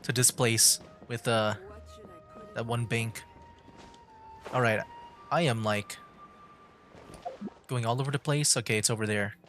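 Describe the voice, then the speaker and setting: A man livestreaming a game with casual, rambling commentary, halting and full of fillers. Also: medium pitch, fairly even intonation, fairly bright tone, faint music behind. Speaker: livestreaming gamer.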